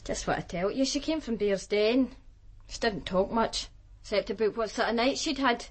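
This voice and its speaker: An actress playing a streetwise, defiant Glasgow sex worker with a Glaswegian accent, blunt and colloquial in a radio drama. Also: female voice, fairly high pitch, moderate pace, slight background noise, close to an everyday voice. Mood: matter-of-fact. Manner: blunt, colloquial, matter-of-fact. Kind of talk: naturalistic radio drama acting.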